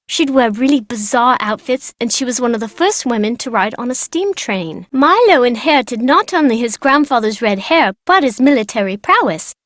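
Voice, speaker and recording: A woman's voice: on the high side, lively intonation, moderate pace, few pauses, a bit bright, dry recording. A woman voicing a bratty, know-it-all young girl from an old English family in a video game. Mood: chatty, matter-of-fact. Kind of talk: video game character dialogue.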